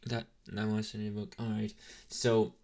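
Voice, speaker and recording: A man's voice: lowish voice, completely flat delivery, a little slow, a little mellow. A man playing an inexperienced young male demon intern in an ASMR roleplay, chatting in a casual, hesitant, apologetic way straight to the listener. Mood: explaining, reassuring. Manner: rambling, hesitant, casually chatty with slangy asides.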